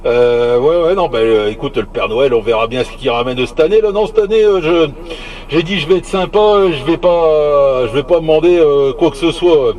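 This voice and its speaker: A man's voice: a little high, moderate pace, a little mellow. A man chatting casually over CB radio as a hobbyist, searching for words and repeating himself.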